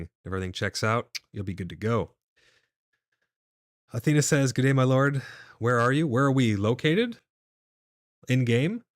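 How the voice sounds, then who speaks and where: A man's voice: on the low side, no room sound, clean studio sound. A man hosting a live gaming stream, reading chat aloud and answering viewers casually, his voice a little nasal and stuffed up. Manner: casual, reads chat messages aloud and replies. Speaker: livestream host.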